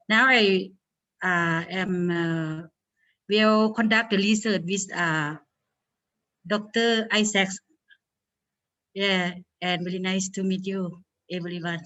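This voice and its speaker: A woman, a university researcher, introducing herself haltingly on a video call. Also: medium pitch, fairly even intonation, a bit bright. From Laos. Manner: halting, with fillers.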